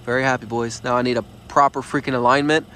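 A young man with a stuffed-up nose, filming his car outdoors on a phone and thinking aloud in a relaxed, rambling way. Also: mid-range voice, quick pace, slight background noise. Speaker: car vlogger. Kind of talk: casual hands-on car vlog. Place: outdoors on a residential street and at a gas station. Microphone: handheld phone camera.

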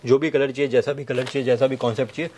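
A man in his thirties giving a sales pitch to camera, listing things with repetitive emphasis. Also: fairly even intonation, racing, a little mellow, slight background noise. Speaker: fabric manufacturer's representative presenting stock. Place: fabric showroom indoors. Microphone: clip-on wireless lapel mic.

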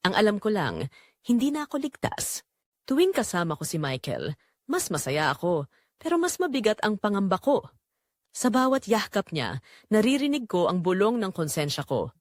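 A woman's voice: mid-range voice, no room sound, studio quality. A woman narrating a scripted dramatic story in the first person.

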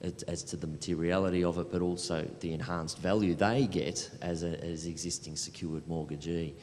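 A man's voice: fairly even intonation, moderate pace, darkish tone. A middle-aged man explaining things as a panelist in a discussion, speaking into a handheld microphone. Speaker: panelist, programme administrator. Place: large hall panel event with audience. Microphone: handheld microphone through hall. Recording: echoey hall, low rumbling noise.

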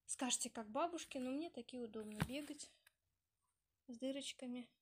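A woman's voice: sits high, moderate pace. Nasal young woman with a cold. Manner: chatty, lists prices item by item. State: stuffy nose from a cold. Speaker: vlogger. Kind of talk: casual vlog show-and-tell. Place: apartment at a family birthday. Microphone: handheld phone camera. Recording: noisy home phone recording.

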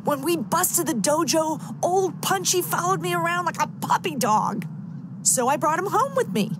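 A woman's voice: extremely high pitch, expressive, moderate pace, noisy background. A woman voicing an upbeat heroic mom, a veteran martial artist in a cartoon game. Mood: fond, reminiscing.

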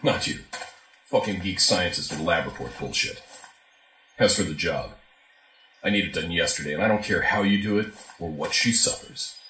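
An actor playing a ruthless, foul-mouthed spy agency director in an audio drama, curt, sardonic and commanding. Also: male voice, low voice, animated, normal speed, pushed and bright, roomy sound, low-quality recording. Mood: cold, businesslike. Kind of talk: modern audio drama acting.

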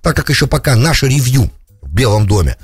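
Fast, animated man hosting political radio. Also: medium pitch, breathy edge, dry recording. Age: middle-aged. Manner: peppers speech with English terms. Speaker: radio political show host. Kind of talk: extemporaneous political commentary. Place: small radio studio. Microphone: close studio broadcast mic on boom arm.

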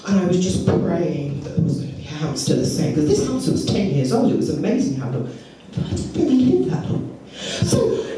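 Middle-aged woman doing stand-up, pitch all over the place, echoey club heard from way back, audience laughing. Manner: animated storytelling with acted-out voices. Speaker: stand-up comedian. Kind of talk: stand-up comedy set. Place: comedy club stage. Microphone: handheld microphone. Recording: echoey club, from far off, crowd.

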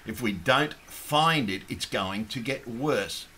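A man with a hoarse, croaky voice from talking all day, giving an angry political rant with heavy swearing. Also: mid-range voice, expressive, slow, slight background noise. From Australia. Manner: swears heavily, rises to shouting. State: hoarse, losing his voice. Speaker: activist vlogger.

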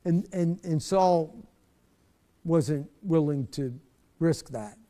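A man's voice: on the high side, takes it slowly, warm tone. A middle-aged man, a pastor, teaching a bible study from a church stage in a conversational way, often repeating words as he searches for them.

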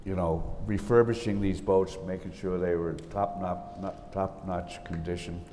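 Deep, dark-voiced older man, halting. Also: fairly even intonation. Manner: halting, folksy. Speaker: panel guest, retired naval officer. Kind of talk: recounting war memories. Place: large auditorium stage. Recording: hall reverb with faint rumble.

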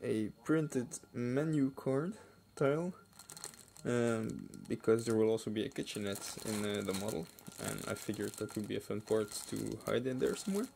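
Man, gravelly fry in the voice, unhurried, casual hobby video host, hesitant, crinkling packaging, faint noise. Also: fairly low pitch, a little mellow. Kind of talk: casual unboxing commentary. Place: indoors at a wooden table. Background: clicks and crinkling plastic bags.

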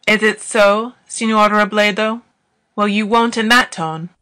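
An actress playing a tavern keeper's proud young daughter, scornful and unyielding, in a dramatised audiobook. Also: female voice, mid-range voice, steady tone, measured pace, bright, forceful tone. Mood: scornful, defiant.

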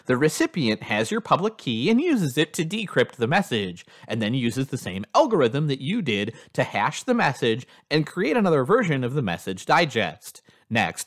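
A man's voice: mid-range voice, a bit bright. A man reading a scripted instructional narration in an explanatory manner as a lesson narrator.

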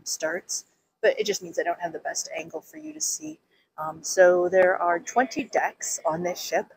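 A woman's voice: on the low side, slight background noise, low-quality recording. Chatty woman vlogging outdoors by phone. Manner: chatty, many ums and restarts. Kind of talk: casual walking vlog. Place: outdoors on a city pier. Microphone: phone at arm's length.

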